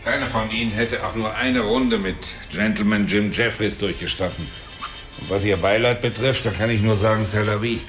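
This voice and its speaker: A man's voice: on the low side, steady tone, moderate pace, music in the background, lightly acted. An actor in a radio play voicing a bitter, unkempt father who is sneering and defensive.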